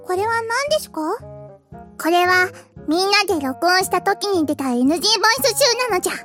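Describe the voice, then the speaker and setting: A woman's voice: sing-song, fairly quick, a bit bright, faint music behind, full character voice. A woman voicing a cheerful, cutesy five-year-old kindergarten girl in an anime-style voice drama, with babyish sentence endings. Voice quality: very high, childlike. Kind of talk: Japanese anime voice drama acting.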